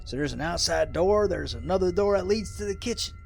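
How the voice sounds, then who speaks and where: A man's voice: sits high, expressive, fairly quick, creaky, faint music behind. A man playing a folksy, jumpy rural hermit with colloquial country talk in an audio drama. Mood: folksy, hospitable.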